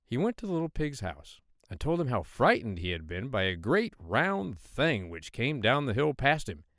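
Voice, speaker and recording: A man reading a children's fairy tale aloud as an audiobook narrator, in an expressive storytelling manner. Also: mid-range voice, normal speed, dry recording, clean studio sound.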